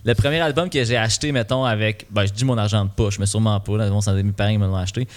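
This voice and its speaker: A man's voice: lowish voice, quick pace. Laid-back Québécois man, rambling answers. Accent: Québécois French. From Quebec. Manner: relaxed, rambling with many fillers. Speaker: musician guest. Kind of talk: casual podcast interview.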